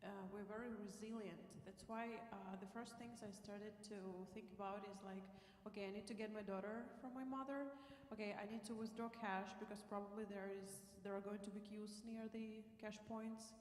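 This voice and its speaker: A woman from Ukraine with a Ukrainian accent, whose first language is Russian, telling her story as a guest in a church interview, halting with many fillers and restarts. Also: medium pitch, fairly even intonation, reverberant, in a large hall, slight background noise.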